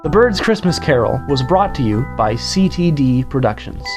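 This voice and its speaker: Announcer reading credits over music. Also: male voice, medium pitch, very animated, normal speed.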